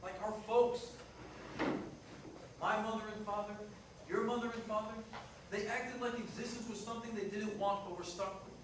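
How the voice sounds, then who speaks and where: A man's voice: high voice, fairly even intonation, moderate pace, long pauses, natural voice. A man acting a restless, blunt Italian-American ex-fiancé in a staged play reading. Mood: earnest, frustrated. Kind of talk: staged reader's theater dialogue. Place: live staged reading in a reverberant room. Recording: echoey, noisy room, distant mic.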